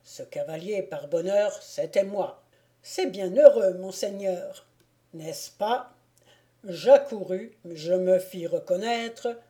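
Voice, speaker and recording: A woman's voice: mid-range voice, takes it slowly, mellow, close to an everyday voice. A woman voicing a courtly, self-assured male lord who spins tales, in a storybook reading. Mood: boastful.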